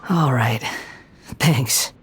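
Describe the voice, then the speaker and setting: An actor playing a gentle, melancholy young male wanderer in a video game, subdued and hesitant. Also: medium pitch, drawn-out words, a little hiss or hum.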